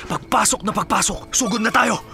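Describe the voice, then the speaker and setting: A man playing a steady, authoritative police captain with terse delivery in an action drama. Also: high-pitched, fairly quick, vocal fry. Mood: tense, focused. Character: steady, commanding police captain leading an operation. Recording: music under the voice.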